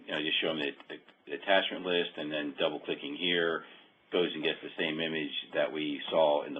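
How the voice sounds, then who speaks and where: A man giving an informal software walkthrough, explaining in a halting, hesitant way. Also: very deep voice, breathy edge. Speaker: software demonstrator. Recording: dull phone-like conference-call audio.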